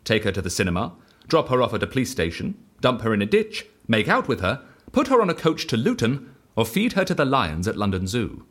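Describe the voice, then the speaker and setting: An actor playing a reckless, exhilarated man on the run who lives by the dice, in an audio drama, excitable and evangelical yet matter-of-fact about outrageous deeds. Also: medium pitch, brisk, hardly any pauses, breathy edge. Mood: earnest confession.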